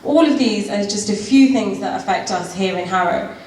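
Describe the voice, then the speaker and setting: A woman's voice: mid-range voice, moderate pace, darkish tone. Earnest woman candidate, roomy distant sound. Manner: earnest, appealing to voters. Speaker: election candidate. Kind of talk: campaign speech at a debate. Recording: roomy hall, distant mic.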